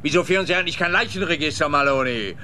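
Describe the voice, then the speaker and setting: A grumpy older policeman in a radio crime comedy. His voice is sharp and forceful and sits slightly high. He is sarcastic and self-satisfied, over faint background noise. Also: very fast. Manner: sarcastic, blustering. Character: grumpy, sarcastic, smug male police officer.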